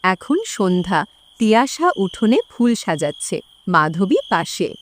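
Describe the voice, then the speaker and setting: Expressive woman story narrator. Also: mid-range voice, measured pace.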